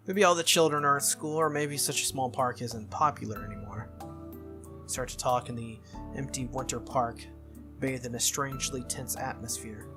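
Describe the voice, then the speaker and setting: A game playthrough host reading visual novel text aloud as expressive narration, with casual asides. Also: mid-range voice, moderate pace, music in the background.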